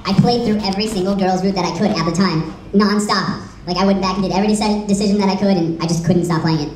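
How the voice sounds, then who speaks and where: A woman's voice: mid-range voice, fairly even intonation, fast, darkish tone, slight background noise. A young woman co-presenting a fan panel in a casual, enthusiastic way, with some swearing. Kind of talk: informal fan panel talk. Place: convention panel room. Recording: echoey room, distant mic.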